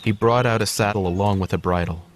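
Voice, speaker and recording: A man calmly narrating a children's storybook. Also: on the low side, fast talker, slight background noise. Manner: calm, clear reading. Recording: studio narration over music.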